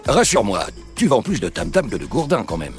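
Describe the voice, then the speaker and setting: A man's voice: lowish voice, huge pitch swings, a little fast, soft background music. A man voicing a curious, easily amazed man in a cartoon dub. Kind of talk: cartoon dubbing.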